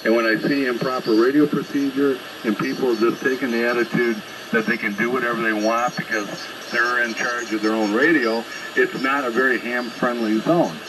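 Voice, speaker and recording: Guy on a staticky ham radio, average pitch, blunt and argumentative, telling other operators off. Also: steady tone, moderate pace. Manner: blunt, confrontational, lecturing. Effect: shortwave single-sideband radio transmission. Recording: very noisy ham radio reception.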